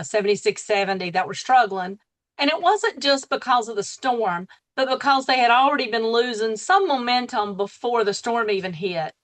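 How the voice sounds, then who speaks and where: A woman's voice: on the high side, fairly bright tone, poor audio. A woman, a guest speaker, giving a plain conversational account with some fillers over a video call mic. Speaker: guest speaker, district membership chair. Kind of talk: conversational presentation.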